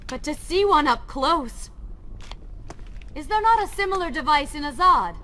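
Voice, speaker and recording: A woman's voice: high-pitched, animated, measured pace, bright, forceful tone, slight background noise, heavily acted. An actress playing a curious, wondering female companion to a hero in a video game cutscene. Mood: awed. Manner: wondering, inquisitive. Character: curious adult female companion of a warrior prince.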